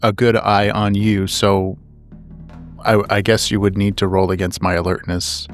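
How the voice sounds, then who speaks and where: A man's voice: fairly low pitch, flat delivery, moderate pace, faint music behind. A man chatting casually as a player in a tabletop roleplaying game.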